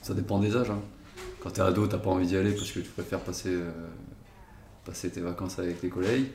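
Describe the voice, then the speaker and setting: Low-voiced man in an echoey room. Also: flat delivery, quick pace, a little mellow. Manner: hesitant, many fillers and restarts. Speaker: interviewee. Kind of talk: casual spoken testimony. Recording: echoey room, faint noise.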